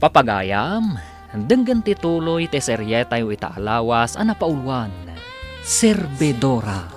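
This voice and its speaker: A man's voice: medium pitch, very animated, slow, soft background music. A man with a Filipino accent narrates and announces a scripted radio drama in a dramatic style.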